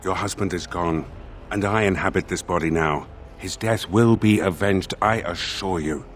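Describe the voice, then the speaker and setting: A man's voice: sits low, moderate pace, faint music behind, natural voice. An actor playing a grave, formal spirit inhabiting a dead man's body in a fantasy video game. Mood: solemn, stern.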